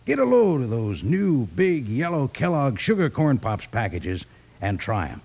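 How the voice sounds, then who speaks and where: A raspy, gravelly cowboy pitchman with lively swoops in his voice. He is jolly and folksy, selling with big enthusiasm like a 1950s radio advertisement. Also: measured pace, highs cut off, exaggerated voice.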